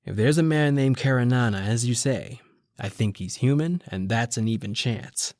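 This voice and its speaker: An actor playing a determined, hard-boiled newspaper reporter, earnest and clipped, in an old-time radio noir style. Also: male voice, mid-range voice, normal speed, darkish tone, no room sound, clean studio sound, natural voice. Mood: resolved.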